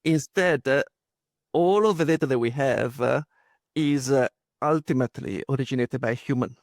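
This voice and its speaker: Italian-accented man, 30s, halting and hesitant, mid-pitched voice, dry close recording. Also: dry recording. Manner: halting, many fillers and restarts. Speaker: guest. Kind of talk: casual interview talk.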